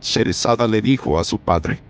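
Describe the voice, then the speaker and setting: Low-voiced man narrating an old tale. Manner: measured, formal storytelling. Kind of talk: scripted story narration.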